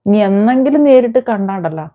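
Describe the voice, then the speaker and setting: A woman's voice: medium pitch, animated, quick pace, lo-fi sound. A woman delivering an impassioned, confrontational vlog rant, scolding someone with repeated rhetorical questions. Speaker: vlogger. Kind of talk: impassioned rant addressed to an absent person.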